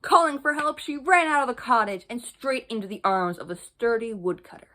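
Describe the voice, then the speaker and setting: A woman's voice: on the high side, brisk, pushed and bright. A woman narrating a children's bedtime story as she reads from a storybook. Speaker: storybook reader.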